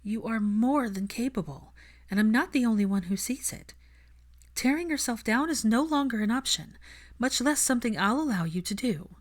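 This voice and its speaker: A woman's voice: a little high, expressive, normal speed, pitched above the performer's own voice. A woman voicing a determined, caring heroine in an audiobook, earnest and insistent as she persuades. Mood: firm, encouraging.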